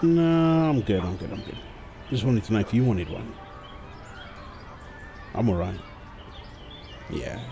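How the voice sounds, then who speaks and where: A man's voice: on the low side, sing-song, measured pace, creaky, pauses often, full character voice. A man playing a doting young husband and new father, tender and playful, in an ASMR boyfriend roleplay. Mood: easygoing, caring.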